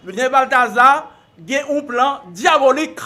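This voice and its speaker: High, bright Haitian man protesting. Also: moderate pace. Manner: emphatic, rousing speech.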